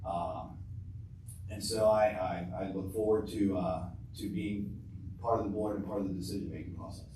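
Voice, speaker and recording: A man in his fifties answering as a school board candidate, halting with many uhs and repeated words. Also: low voice, steady tone, dark tone. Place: school board meeting room. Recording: very echoey room from far off, noisy.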